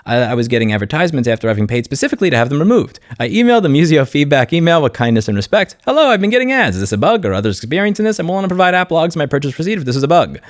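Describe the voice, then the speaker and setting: A man's voice: mid-range voice, dry recording, clean studio sound. A man hosting an informal commentary video, speaking in a blunt, sardonic and opinionated way. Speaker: video host, consumer rights commentator.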